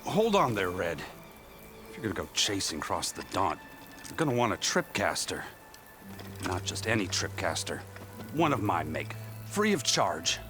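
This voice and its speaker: An actor playing a chatty, wry middle-aged tinkerer in a video game, with a folksy, rambling way of talking. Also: male voice, low-pitched, very animated, moderate pace, long pauses, fairly bright tone, faint music behind. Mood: eager, friendly. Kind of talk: video game character dialogue.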